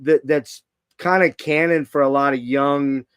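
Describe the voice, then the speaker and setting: A man's voice: medium pitch, fairly even intonation, a bit bright, smooth, no room sound. Eager middle-aged male host, dry sound. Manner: enthusiastic, agreeable, quick to affirm. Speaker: podcast host. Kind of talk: casual interview chat. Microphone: video call.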